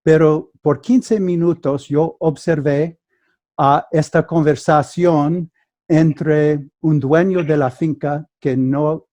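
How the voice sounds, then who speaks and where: A man whose first language is English, speaking Spanish with an American accent as a guest mediator, telling a story carefully with halting restarts over a video call. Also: a little high, warm tone. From United States. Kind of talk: conversational storytelling.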